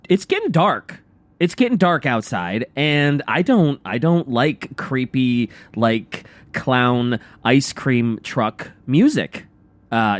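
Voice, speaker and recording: A man's voice: medium pitch, dry recording. A man co-hosting a radio show in a studio, chatting casually and reactively, often repeating his phrases.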